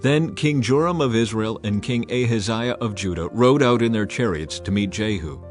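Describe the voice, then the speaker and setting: A man reading a dramatized Bible passage as narrator, lifting his voice to act out the quoted speakers. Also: moderate pace. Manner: acts out quoted speakers by raising pitch and volume. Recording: soft music bed behind the voice.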